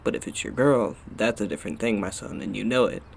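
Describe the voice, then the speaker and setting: A man's voice: fairly low pitch, quick pace, creaky, slight background noise. A man playing an old street flower seller in a radio play, with folksy, familiar salesman patter. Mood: friendly advising. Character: chatty old male street flower vendor.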